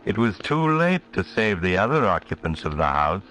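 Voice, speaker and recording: An actor playing a sly, macabre traveling storyteller, sardonic and mock-genial, in 1940s radio horror on a noisy old recording. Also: male voice, fairly low pitch, very animated, moderate pace, a bit bright. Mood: ominous. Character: the Mysterious Traveler, sly, macabre male train companion.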